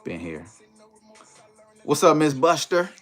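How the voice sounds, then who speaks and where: A man's voice: on the high side, sing-song, takes it slowly, a bit bright, soft background music. An American man from the Maryland and Washington DC area hosting a casual livestream, chatting to viewers in slangy, conversational phrases. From United States, Maryland and Washington DC area. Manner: conversational, uses slang and tag phrases like "you know".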